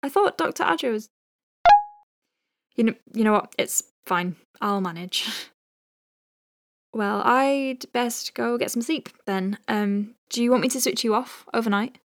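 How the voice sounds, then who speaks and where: A woman playing a caring, conscientious doctor in an audio drama, dictating clinical notes with gentle, chatty asides. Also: on the high side, a little slow, pauses often. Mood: weary. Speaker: lead character, physician.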